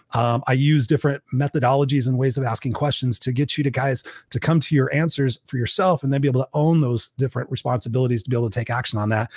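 A hoarse man hosts his live podcast while fighting a cold, driving his points home like a pep talk. He talks at a rapid clip. The sound is close and dry, with no room around it. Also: mid-range voice, no echo. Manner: fast, energetic pep-talk delivery. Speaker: podcast host.

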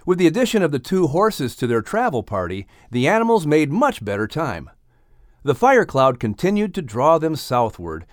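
A man narrating a children's audiobook in an expressive, scripted storytelling style. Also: on the high side, dry recording, clean studio sound.

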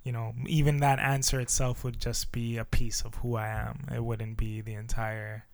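A man hosting his own podcast in a relaxed, casual chat, with lots of fillers and loose phrasing. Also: medium pitch, moderate pace, gravelly, no echo. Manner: laid-back, filler-heavy, says "touch base" a lot. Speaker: podcast host.

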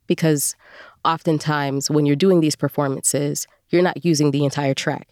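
A woman's voice: low voice, darkish tone, no echo, studio quality. A woman speaking casually as an interview guest, a voice performer telling her story.

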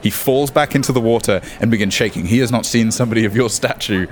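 A man's voice: medium pitch, fast talker. A man narrating as game master, mixing descriptive scene-setting with wry, joking asides.

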